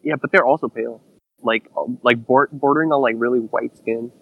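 A man co-hosting a casual fan podcast, feeling out his ideas in a hesitant, halting way. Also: mid-range voice, fairly even intonation, creaky, no room sound, narrow, tinny audio.

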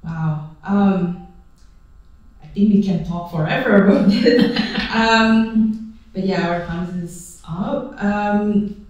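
A woman hosting a podcast interview, soft and hesitant, filling gaps with "mm", "like" and "um". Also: medium pitch, takes it slowly. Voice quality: soft, lots of "mm" and "like", hesitant phrasing. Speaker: podcast host. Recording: dull, echoey sound, far from the mic.

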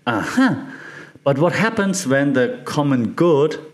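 A man from Germany with a German accent hosts a podcast, explaining ideas informally with halting repetitions and frequent check-ins to the listener. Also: a little high, very animated. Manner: conversational, halting, repeats words, checks in with "right?". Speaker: podcast host.

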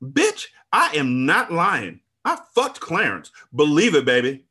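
A man voicing a furious, foul-mouthed woman in an audiobook drama, loud, sassy and confrontational, bent on revenge. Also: medium pitch, very animated, normal speed, pitched above the performer's own voice. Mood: vengeful, gloating. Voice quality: loud, raised voice. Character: Alice, furious, foul-mouthed, vengeful woman betrayed by her friend.

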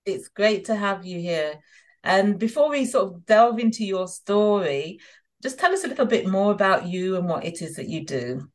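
A woman hosting an interview in a conversational style, speaking in measured phrases with pauses mid-sentence. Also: mid-range voice, lively intonation. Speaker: interview host. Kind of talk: conversational hosting, introducing a guest.

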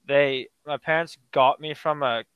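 A teenage male podcast guest who answers with dry, deadpan jokes in a casual interview. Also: fairly high pitch, measured pace, smooth, dry recording, highs cut off. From United States. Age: teens.

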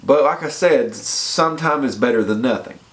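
A man's voice: mid-range voice, normal speed. Congested man giving casual fitness tips. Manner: chatty, repeats points and checks in with "okay?". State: congested from a head cold. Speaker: fitness vlogger. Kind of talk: casual advice talk to camera.

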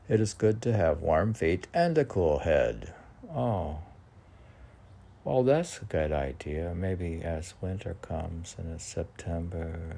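A man in a rambling solo monologue, musing and questioning himself haltingly as he shares aphoristic lines of his own poetry. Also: low-pitched, creaky, warm tone, husky. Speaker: poet reading and commenting on own writing.

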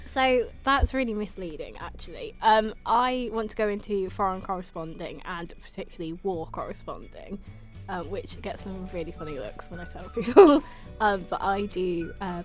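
A woman's voice: lowish voice, moderate pace, hardly any pauses, soft background music. A young woman from the East Midlands, a student co-hosting a podcast in casual chat, sounding nasal from a cold and laughing easily. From East Midlands, England. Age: young adult. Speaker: podcast co-host.

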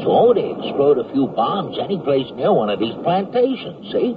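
A man playing an earnest, excitable soldier explaining his theories in a 1970s radio comedy. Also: medium pitch, normal speed, natural voice. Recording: distant, tinny, noisy old radio recording.

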